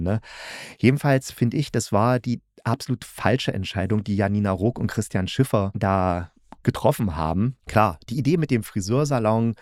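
A man's voice: lowish voice, darkish tone, no echo. Opinionated man, dry close sound. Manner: colloquial, opinionated, with frequent tag questions. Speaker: podcast host and reviewer. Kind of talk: casual spoken review commentary.

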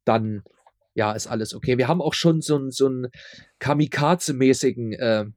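A man's voice: mid-range voice, moderate pace, darkish tone, dry recording. Casting: male interview guest, mid-range voice, casual and anecdotal, repeats words as he searches, ironic asides, close dry recording. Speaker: interview guest, audio drama producer.

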